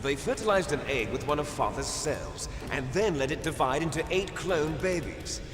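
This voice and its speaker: A man performs a grandiose villain from a video game, a bitter cloned soldier with a high-set, sweeping delivery. He lectures with menace and resentment in a long, echoing reverb. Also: lively intonation, normal speed, lightly acted. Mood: grave, revealing. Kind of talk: dramatic video game voice acting. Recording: echoey hall reverb over loud rumbling noise.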